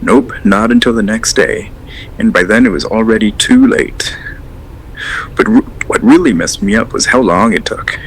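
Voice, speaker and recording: Male actor, radio drama caller, low-pitched man, hesitant, earnest, unsettled, audible background noise. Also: gravelly, rough recording. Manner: hesitant, rambling, halting. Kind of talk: audio drama, naturalistic phone-in call.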